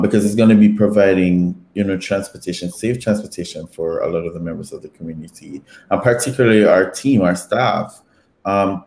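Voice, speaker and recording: A man from Jamaica, speaking earnestly and in a measured way, with frequent "um", as a guest in a discussion. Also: deep voice, a little mellow. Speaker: guest, advocate. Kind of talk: conversational discussion. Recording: echoey room.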